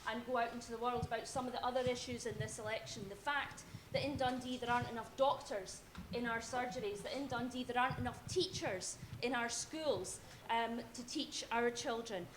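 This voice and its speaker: A woman giving a measured, pointed campaign speech as a candidate at a debate. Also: on the high side. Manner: measured and pointed, criticizing opponents. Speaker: election candidate. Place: university debate hall. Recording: echoey hall, faint noise.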